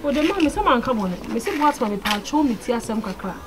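A woman's voice: fairly high pitch, very animated, fast. A woman with a Ghanaian accent playing an insistent talker in a drama dialogue. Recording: noisy ambient location sound.

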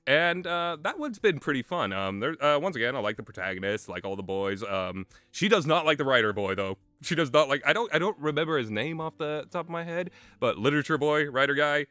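A man's voice: on the high side, lively intonation, a little fast, few pauses, bright, forceful tone, dry recording. A man giving casual, rambling, opinionated commentary as a video game reviewer, speaking conversationally and with emphasis. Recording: music playing under the voice. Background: background music.

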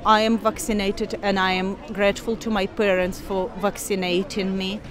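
A woman in her forties, originally from Ukraine, speaks English with a Ukrainian accent in an outdoor park interview, earnest and measured with frequent pauses. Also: mid-range voice, level tone, a crowd in the background. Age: 40s. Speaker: interviewee, scientist. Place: park outdoors, people milling behind.

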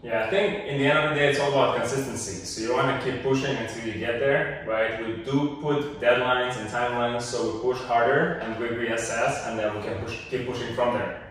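A man's voice: mid-range voice, steady tone, a little fast, fairly bright tone, a little hiss or hum. A man working as a personal trainer, calling out exercises in a direct, motivating coaching manner. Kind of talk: coaching instructions. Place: home gym, indoors. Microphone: camera at a distance. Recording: echoey room, mic at a distance. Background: background music.

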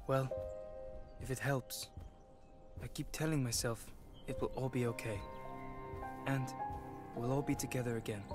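An actor playing an earnest, caring young hunter in a video game cutscene, speaking gently. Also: male voice, medium pitch, natural voice. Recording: loud game music behind. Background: loud music.

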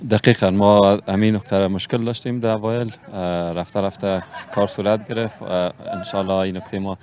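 A man in his thirties with an Afghan Dari accent, a head coach answering interview questions outdoors on a handheld mic, earnest with hesitant pauses. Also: lowish voice, flat delivery, a crowd in the background. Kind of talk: post-match interview. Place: outdoor pitchside backdrop.

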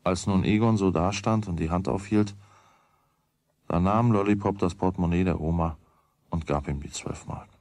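A man's voice: low voice, moderate pace, darkish tone, no room sound. A man narrating a children's radio play in a scripted storytelling style.

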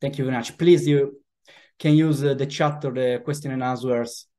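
A man with an Italian accent welcomes participants to an online webinar over a video call. His voice sits at an ordinary middle pitch, and his delivery is loose and hesitant, full of small pauses and fillers. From Italy. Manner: relaxed, hesitant, with frequent "uh" fillers. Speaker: webinar host.

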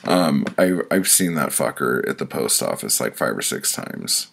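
A man with a rough, gravelly voice reading his own crude, profane comic stories in a casual, blunt first-person way. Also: very deep voice, big pitch swings, moderate pace, a bit bright. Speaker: author reading their own audiobook.

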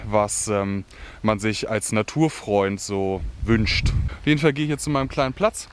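A man's voice: on the low side, steady tone, moderate pace, a little hiss or hum. A young man chatting to camera in a forest as an outdoor vlogger, opinionated and loose, with many fillers and asides. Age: young adult. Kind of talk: casual chat to camera. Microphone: camera held at arm's length.

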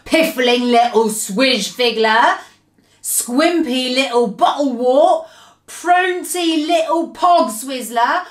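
A huge male giant from a children's storybook, acted out by a woman, brutish and menacing. He booms and taunts like a bully who eats people. The room around the voice is very echoey. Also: takes it slowly. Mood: insulting, bullying. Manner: booming, shouting, taunting. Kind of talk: children's storybook read-aloud character voice.

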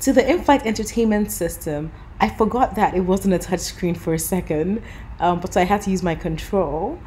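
A woman's voice: lowish voice, normal speed, music in the background. A woman in her thirties, talking casually and upbeat as a travel vlogger, full of little fillers. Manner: chatty, upbeat, lots of fillers like "you know". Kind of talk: casual vlog chat and voice-over review. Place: airport lounge, indoors. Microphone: camera held close at arm's length.

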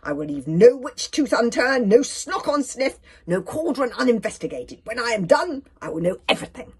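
A woman's voice: lowish voice, sing-song, fairly quick, slightly rough, full character voice. A woman voicing a boastful, cocksure girl burglar in a storybook, making emphatic declarations. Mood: grandly determined.

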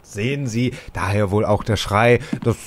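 An actor playing a nervous, evasive young radio playwright who stammers and hesitates, in a German audio drama. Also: male voice, on the low side, moderate pace, lightly acted. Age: young adult.

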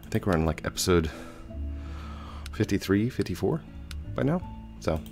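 Deep-voiced man, slightly hoarse from a cold. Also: very animated, moderate pace, darkish tone, faint music behind. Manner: chatty, rambling, with frequent fillers. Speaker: gaming channel host. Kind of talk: casual let's play commentary. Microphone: headset mic.

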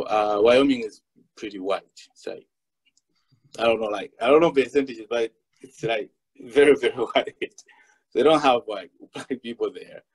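A man's voice: medium pitch, rough recording. A young man from Malawi, speaking as a panelist on a video call and telling a personal story with many fillers and restarts.

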